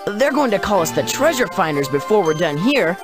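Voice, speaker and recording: A woman's voice: medium pitch, very animated, brisk, over music, lightly acted. A woman playing a wry, confident young detective teammate in a children's game. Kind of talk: scripted children's game voice acting.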